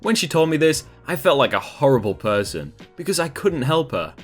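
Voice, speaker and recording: A man narrating a read-aloud online story in a scripted, expressive manner. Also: a little high, normal speed. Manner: lively, expressive reading. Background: faint background music.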